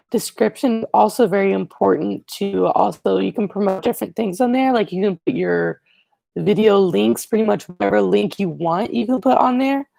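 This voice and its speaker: A teenage boy hosting a casual livestream, rambling and correcting himself as he chats to viewers, his voice raspy today. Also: sits high, a little mellow, no echo. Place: living room, indoors. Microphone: earbud headset mic, webcam.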